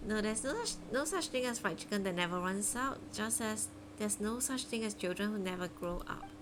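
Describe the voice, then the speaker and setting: Girl streamer chatting while gaming, normal pitch, kinda noisy hum behind her. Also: animated, a little fast. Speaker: game livestreamer. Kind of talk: casual gameplay commentary.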